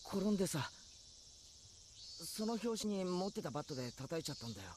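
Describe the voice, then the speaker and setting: A man's voice: high-pitched, sing-song, very fast, noisy background. A male voice actor playing a nervous, confused teenage boy in a Japanese visual novel, with a flustered, defensive way of talking. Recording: game audio with loud background music.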